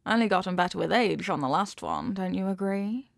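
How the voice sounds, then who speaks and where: A woman's voice: mid-range voice, animated, a little fast, vocal fry, dry recording, studio quality. A woman acting a possessive, manipulative rich young girlfriend in an intimate audio roleplay, talking down in a coaxing, teasing way with veiled threats. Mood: exasperated, possessive.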